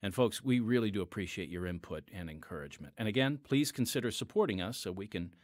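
A male podcast host speaking in a friendly, chatty way. His pitch moves a lot as he talks. The studio sound is dry and close. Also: lively intonation, fast, no echo. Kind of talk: podcast host segment and announcements.

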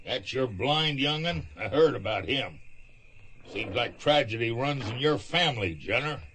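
A man's voice: medium pitch, animated, a little slow, fairly bright tone, husky. An actor playing a menacing, swaggering gunman in a radio western, with threatening, folksy frontier talk. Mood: taunting. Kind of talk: radio western drama acting.

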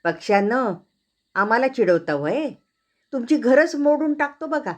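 Woman putting on an indignant, raised-pitch storybook monkey. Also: normal speed, close to an everyday voice. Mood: threatening. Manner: rustic, taunting, indignant. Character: indignant, rustic, short-tempered monkeys threatening the birds. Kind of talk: children's storybook character voice.

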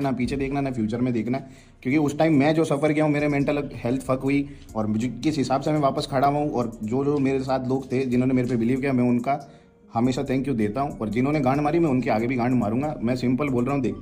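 A man's voice: mid-range voice, fairly even intonation, quick pace, warm tone. A man in his thirties, an esports player, talking bluntly and heatedly into a headset mic from a small room, repeating himself to press his points. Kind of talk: livestream talk and call recording. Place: small room, sitting in a gaming chair.

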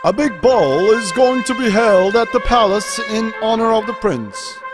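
A man playing a formal, commanding royal figure making proclamations in an animated fairy tale. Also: sits high, measured pace, music in the background. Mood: announcing.

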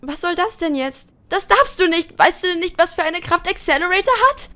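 High-pitched anxious teenage girl, acted by a woman. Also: animated, brisk, a bit bright. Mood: desperate, shouting. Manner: emotional, pleading. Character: anxious, hot-tempered teenage girl with psychic powers. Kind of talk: dramatic anime dub acting. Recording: fan dub with music underneath. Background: background music.

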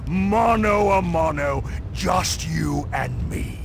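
A man's voice: a little high, takes it slowly, gravelly, noisy background. An actor playing a scheming, menacing old-time tough guy in a video game.

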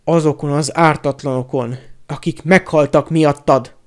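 A man's voice: on the high side, steady tone, close to an everyday voice. A man voicing a vengeful, furious adult man betrayed by his friend, with snarling, accusing delivery in an audiobook. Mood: bitter fury. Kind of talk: audiobook character acting.

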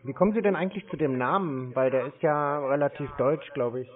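A man taking a phone call from a scammer, asking dry, deadpan questions. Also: a little high, fairly quick. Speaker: call recipient baiting a scammer. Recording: tinny phone line.

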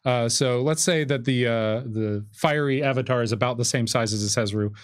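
A man's voice: medium pitch, a little fast. Man running a tabletop game. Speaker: game master. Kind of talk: tabletop game demonstration, casual narration. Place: indoors at a gaming table.

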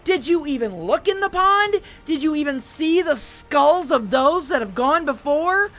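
A woman voicing a furious, cruel man leading a band of hunters, shouting and menacing. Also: mid-range voice, unhurried, faint music behind, natural voice. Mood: raging.

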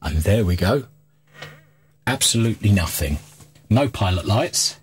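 A man's voice: fairly low pitch, lively intonation, a little slow, over music. A middle-aged man giving informal, candid hands-on commentary as he presents a repair video. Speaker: host of a radio repair video. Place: small cluttered workshop, indoors.

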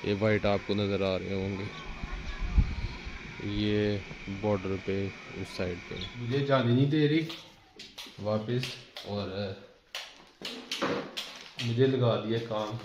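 A man's voice: lowish voice, steady tone, slow, long pauses, lo-fi sound. A man in his thirties, chats casually to viewers while doing rooftop chores in his village, filming on a phone at arm's length. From Pakistan. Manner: chatty, explains to viewers as he works, teasing. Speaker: village vlogger. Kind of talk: casual vlog narration to camera. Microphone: phone camera at arm's length. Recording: noisy outdoor phone audio.

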